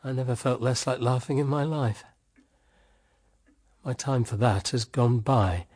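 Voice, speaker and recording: Weary, husky British husband played by an actor. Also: male voice, medium pitch, unhurried, a little mellow, natural voice. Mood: bitter, despairing. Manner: wry, world-weary, affectionate. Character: weary, embittered husband, tender toward his young sister-in-law. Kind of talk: radio drama acting.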